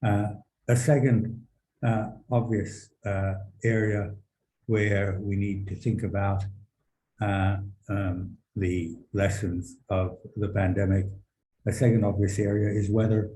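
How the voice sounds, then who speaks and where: Low dark-voiced guy on a policy panel, slow careful formal talk, lots of pauses, roomy echo. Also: flat delivery. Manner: measured, deliberate, halting with long pauses; erudite, formal phrasing. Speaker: panel speaker. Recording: roomy echo with some background noise.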